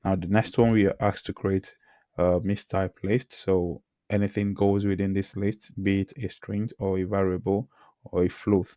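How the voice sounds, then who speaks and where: A hoarse man who says he has lost his voice, teaching programming and talking through the code he types, often clearing his throat. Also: low voice, little pitch movement, moderate pace, dark tone, dry recording, clean studio sound. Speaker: programming tutor. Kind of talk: screen-recorded coding tutorial.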